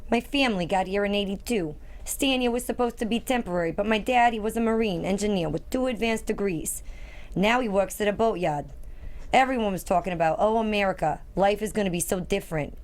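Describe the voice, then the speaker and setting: A woman's voice: mid-range voice, brisk, close to an everyday voice. An actress at a table read playing a blunt, sardonic, foul-mouthed teenage immigrant girl. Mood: bitter.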